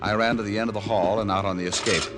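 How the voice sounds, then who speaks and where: An actor playing a cool, take-charge private detective in old radio drama, speaking and narrating in a clipped, deadpan way. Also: male voice, fairly low pitch, rapid-fire, lightly acted. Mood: tense narration. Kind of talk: 1940s-50s radio detective acting and narration. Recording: vintage radio broadcast, music underneath.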